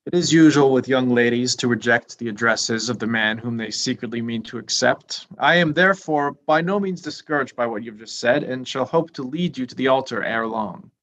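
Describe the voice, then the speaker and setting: A man's voice: mid-range voice, moderate pace, hardly any pauses, a bit bright, close to an everyday voice, rough recording. Man acting a pompous, long-winded young clergyman. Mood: complacent, undeterred. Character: pompous, self-important, obsequious young English clergyman. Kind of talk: staged reading of a period drama.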